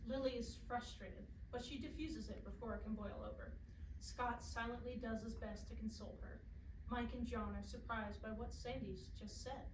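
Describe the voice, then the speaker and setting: A woman's voice: a little high, steady tone, normal speed. A woman reading scripted stage directions as narrator at a staged play reading. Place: performance space, recorded from afar. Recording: echoey distant recording, loud background noise.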